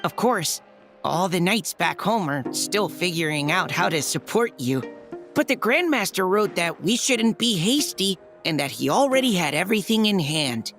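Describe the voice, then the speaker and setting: A woman's voice: on the low side, expressive, moderate pace, a bit bright, faint music behind. A woman voicing an earnest, slightly flustered young knight courier in a video game, polite and hesitant. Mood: earnest, reassuring. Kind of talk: anime-style video game voice acting.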